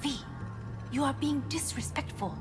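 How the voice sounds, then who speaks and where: An actress playing a composed, dutiful corporate heiress in a video game, guarded and formal, with precise, restrained phrasing. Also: female voice, high-pitched, moderate pace, natural voice. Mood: reproving. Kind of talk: dramatic video game dialogue. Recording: music behind the voice.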